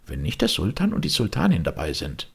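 A man voicing a nursemaid in a fairy tale, explaining things in a high-pitched voice. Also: huge pitch swings, a little fast, vocal fry, slightly rough, heavily acted. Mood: informative. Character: Turkish nursemaid, a woman, matter-of-fact informant.

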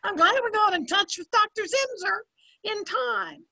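A woman's voice: extremely high pitch, unhurried, vocal fry, sharp tone, exaggerated voice. An older woman playing a brash, motherly, outspoken maid in old-time radio comedy style. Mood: brisk. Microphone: video call computer mic.

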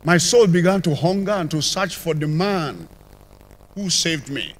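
An older man with a Nigerian accent, telling his conversion testimony as a church apostle, with sudden rises and drops in loudness, on a handheld mic in a large church. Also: fairly high pitch, animated, a little slow. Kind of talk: testimony sermon. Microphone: handheld microphone.

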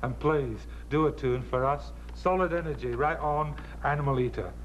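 A man playing a slow, agreeable punk sidekick who echoes his partner in a children's TV comedy sketch. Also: mid-range voice, muffled recording, slight background noise, lightly acted. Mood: agreeable, dopey.

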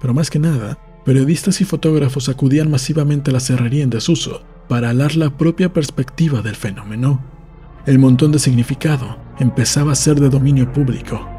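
A man reading a novel aloud as a narrator, in a measured storytelling manner. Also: mid-range voice, mellow, faint music behind. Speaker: audiobook narrator. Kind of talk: scripted literary narration, novel read aloud.

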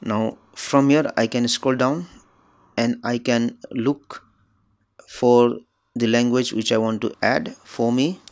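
A man's voice: mid-range voice, fairly even intonation, slow, a little mellow. A man whose native language is Nepali, hosting his channel and explaining phone settings step by step in plain instructional narration. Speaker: tech tutorial channel host.

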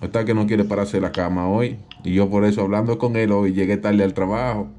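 A man's voice: on the low side, fairly even intonation, a little fast, dark tone, a little hiss or hum, close to an everyday voice. A man with a Dominican accent playing an aggrieved, protective father who claims to be a police captain on a prank call, insistent and repetitive. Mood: pleading, insistent. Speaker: prank caller. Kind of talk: improvised prank phone call.

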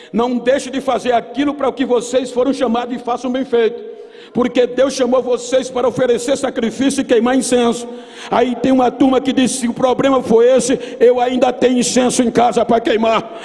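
High-pitched gravelly Brazilian preacher man. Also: a little mellow. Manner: emphatic, oratorical, storytelling. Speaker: pastor preaching at a youth Bible seminar. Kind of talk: Pentecostal sermon to a large congregation. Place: large church auditorium. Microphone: microphone on a podium stand. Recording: long hall reverb from PA system.

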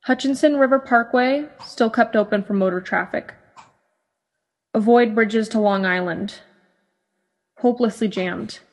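A woman's voice: on the high side, a little slow, long pauses. A woman playing a grave, resolute news broadcaster in a radio drama, reporting formally. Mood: urgent, grave. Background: music and bells.

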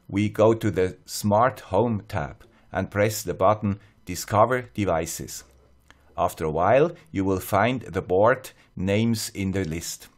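Low-pitched Swiss-accented man. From Switzerland. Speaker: host of an electronics tutorial channel. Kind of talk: scripted tutorial narration.

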